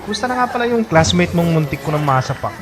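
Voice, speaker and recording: A man's voice: on the high side, big pitch swings, fast. A man playing a protective, teasing college-age best friend in a Filipino radio drama, breezy and playful. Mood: teasing, amused. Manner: breezy, playful, laughs often. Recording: music bed under dialogue.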